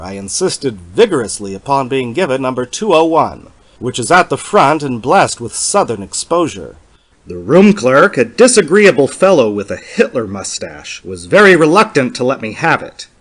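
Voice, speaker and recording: A man acts out a lonely, fussy middle-aged male academic narrating an eerie storybook mystery. His formal, literary delivery is measured yet swoops expressively up and down. He sounds self-pitying and particular about every detail. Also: medium pitch, a bit bright, no echo. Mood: curious, fussy. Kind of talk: dramatic horror story reading.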